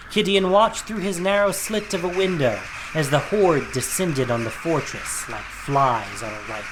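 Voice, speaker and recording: Animated male storyteller narrating fantasy drama. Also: medium pitch, noisy background.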